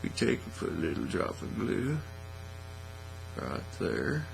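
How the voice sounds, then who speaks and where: A middle-aged man giving a casual, folksy, unhurried how-to demonstration at an indoor hobby bench. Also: low-pitched, wildly swooping pitch, creaky. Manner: folksy, unhurried step-by-step talk. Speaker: hobby craft tutorial host. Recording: faint mains hum and noise.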